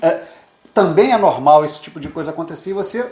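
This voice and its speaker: A man's voice: on the high side, animated, fast, a little mellow. A Brazilian man in his fifties, co-hosting a casual chat and rambling with repeated words. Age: 50s. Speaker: co-host, immigrant journalist. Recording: echoey room.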